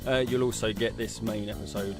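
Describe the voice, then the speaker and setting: A man's voice: on the low side, fairly even intonation, brisk, over music. A man co-hosting a podcast in a casual, conversational style, telling things informally. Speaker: podcast co-host.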